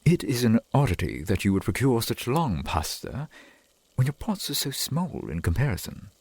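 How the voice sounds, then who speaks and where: Man playing a breathy, lilting, doting vampire boyfriend. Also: mid-range voice, big pitch swings, darkish tone, full character voice. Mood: eager, earnest. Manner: formal archaic wording, halting, eager to please. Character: doting, old-fashioned, earnest vampire boyfriend, centuries old. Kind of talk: ASMR boyfriend roleplay, theatrical and intimate.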